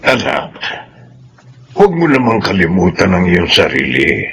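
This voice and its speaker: An actor playing a doting older father and grandfather with a warm, theatrical manner in a Filipino radio drama. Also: low-pitched, wildly swooping pitch, a little slow, a little hiss or hum, heavily acted. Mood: concerned, fond. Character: doting, gently chiding elderly father and grandfather.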